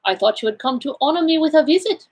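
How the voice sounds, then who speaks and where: A woman voicing a courteous, self-assured Belgian male detective in an audiobook, speaking in a deliberate, formal, explanatory way. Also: high-pitched, expressive, pitched above the performer's own voice. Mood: courteous, gallant. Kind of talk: audiobook character dialogue.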